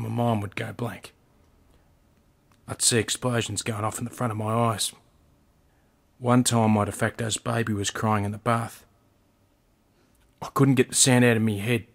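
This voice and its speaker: An Australian man acting in a radio play as a rough, volatile inner-city man just out of custody, talking in terse slang. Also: mid-range voice, level tone, unhurried, long pauses. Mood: confessional. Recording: music under the voice.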